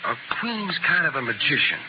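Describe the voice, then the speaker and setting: An American actor playing a cocky, menacing young outlaw, a rancher's spoiled son, in a radio western. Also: male voice, fairly high pitch, very animated, fairly quick. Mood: cold, cocky. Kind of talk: 1950s American radio drama acting. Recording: muffled, noisy old radio broadcast.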